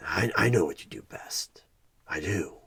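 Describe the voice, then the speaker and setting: A man playing a sinister devilish tempter posing as a job interviewer in a horror ASMR roleplay, with a gravelly, breathy voice that often drops into a whisper and a menacing, persuasive manner. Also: sits low, lively intonation, fairly bright tone, exaggerated voice. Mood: hushed, menacing. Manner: slow, menacing, persuasive, drawn-out pauses.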